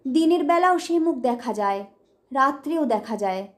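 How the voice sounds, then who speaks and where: Lady with a really high voice doing dramatic audio story narration. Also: animated, moderate pace.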